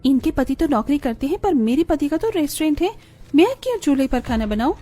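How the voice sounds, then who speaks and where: A woman's voice: sits high, expressive, fairly quick, mellow. A woman playing a lazy, resentful and defiant younger sister-in-law in a cartoon family drama. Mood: resentful grumbling.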